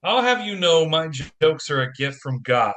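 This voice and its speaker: An actor playing a harried single dad in an audio play, joking and self-mocking in a theatrical manner. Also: male voice, a little high, very animated, normal speed, fairly bright tone. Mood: wry banter.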